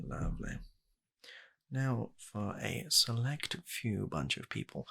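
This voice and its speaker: A British man softly playing a kind, polite matchmaker in an ASMR roleplay, hesitant and courteous, with a breathy, gravelly voice close to the microphone. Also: on the low side, pitched above the performer's own voice, full character voice. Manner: hesitant, courteous, reassuring salesman patter. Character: kind, polite British gentleman running a dating agency. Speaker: ASMR performer.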